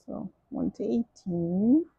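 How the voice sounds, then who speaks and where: A woman's voice: medium pitch, lively intonation, slow pace, vocal fry, warm tone, breathy edge, no echo, dull audio. A woman with a French accent, French her first language, chatting casually through a craft kit unboxing, gushing and restarting often. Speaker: crafting vlogger.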